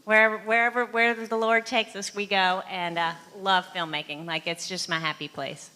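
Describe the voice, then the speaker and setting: A woman's voice: mid-range voice, flat delivery, fairly quick, pushed and bright, low-quality recording. A woman on a filmmaker panel speaking in an upbeat way and giving encouraging advice. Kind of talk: casual panel talk.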